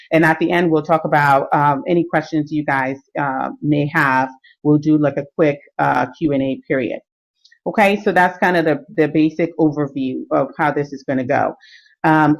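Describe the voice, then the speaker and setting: Lady fitness coach talking thru a live stream, lowish voice, lots of ums. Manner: many fillers and restarts, relaxed explaining.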